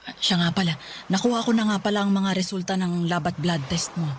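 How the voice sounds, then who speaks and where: A woman's voice: lowish voice, fairly quick, natural voice. A woman playing a worried middle-aged mother asking questions in a Tagalog radio drama. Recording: some noise behind the voice.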